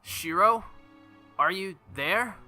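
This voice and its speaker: A man voicing a gravely wounded, devoted woman warrior in a visual novel game, halting and apologetic. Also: high voice, lively intonation, sharp tone, soft background music, voice pushed up, full character voice. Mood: faint, searching. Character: gravely wounded, faint, devoted female sword-wielding servant.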